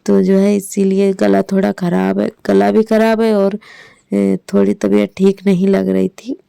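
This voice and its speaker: Hoarse woman vlogger in her thirties, Hindi accent, gravelly, flat delivery, dry close sound. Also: mid-range voice, unhurried, dry recording. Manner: rambling, with many fillers. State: hoarse from a sore throat. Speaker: village vlogger narrating her day. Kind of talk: casual voice-over narration.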